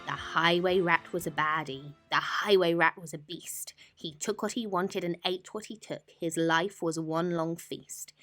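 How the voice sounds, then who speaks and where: Slow, bright-voiced woman storyteller. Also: lowish voice, steady tone, soft background music. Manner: dramatic, deliberate, rhythmic. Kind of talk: expressive bedtime story narration.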